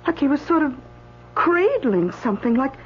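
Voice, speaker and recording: High-voiced, jittery woman assistant. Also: very animated. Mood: uncertain. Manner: halting, stammering, groping for words. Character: jittery, uncertain woman assisting a doctor at a morgue. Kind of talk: radio drama acting. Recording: dull old radio broadcast, faint hum.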